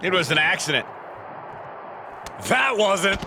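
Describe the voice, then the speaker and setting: High-pitched male wrestling commentator. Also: lively intonation, slow, noisy background. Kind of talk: excited sports commentary in a video game.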